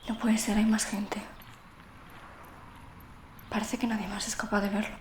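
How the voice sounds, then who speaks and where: A woman's voice: mid-range voice, little pitch movement, unhurried, roomy sound, a little hiss or hum, close to an everyday voice. A woman playing a lonely, fearful teenage girl in an audio drama, with an introspective, confiding manner. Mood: frightened. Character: lonely, fearful teenage girl narrating her secret visions. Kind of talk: first-person audio drama narration and dialogue.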